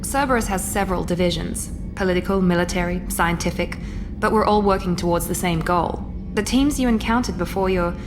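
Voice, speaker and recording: A woman playing a composed agent over clear music. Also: on the low side, fairly quick, natural voice. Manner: formal, composed, precise. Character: poised, businesslike woman agent, coolly loyal. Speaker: secret agent and ship's officer. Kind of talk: video game character dialogue.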